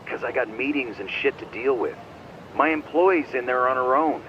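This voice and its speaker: A man's voice: medium pitch, normal speed, close to an everyday voice. An actor playing a sly male business-owner buddy in a video game cutscene, coaxing and teasing. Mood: pressing. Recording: dull band-limited voice over vehicle noise.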